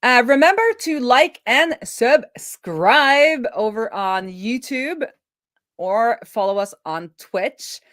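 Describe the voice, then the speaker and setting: A woman's voice: on the high side, lively intonation, takes it slowly, a bit bright, dry recording, studio quality. A middle-aged woman hosting a livestream in casual, conversational chat. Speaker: livestream host.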